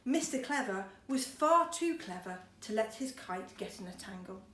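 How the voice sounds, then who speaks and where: A woman's voice: mid-range voice, expressive, normal speed, a bit bright. A woman schoolteacher reading a storybook to children expressively, with chatty, encouraging asides. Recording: roomy echo, faint background noise.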